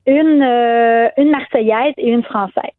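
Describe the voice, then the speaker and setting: A woman's voice: fairly high pitch, slow, no echo. A woman singer from Quebec with a Saguenay Québécois accent, answering interview questions in a friendly, hesitant way with many fillers. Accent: Saguenay Québécois French. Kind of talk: casual radio interview. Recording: tinny phone line.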